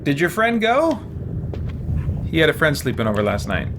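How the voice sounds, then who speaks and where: A man's voice: fairly high pitch, huge pitch swings, moderate pace, very noisy. A middle-aged man giving casual livestream commentary as he plays a game. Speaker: game streamer.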